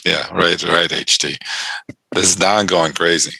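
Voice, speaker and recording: A very deep-voiced man from Chicago talking slowly and easily, answering chat on his trading stream. His tone is bright and forward, and the sound is dry and close in a quiet room. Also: fairly even intonation, vocal fry, husky, no echo, rough recording. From Chicago North Side. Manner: laid-back, laughs often, reads and answers chat. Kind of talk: casual live-stream chat with viewers.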